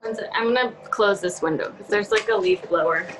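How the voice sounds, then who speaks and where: A woman's voice: medium pitch, a little fast, fairly bright tone, faint music behind, low-quality recording. A woman chatting casually and informally as a guest on a podcast. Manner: informal, frequent "like". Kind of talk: casual chat.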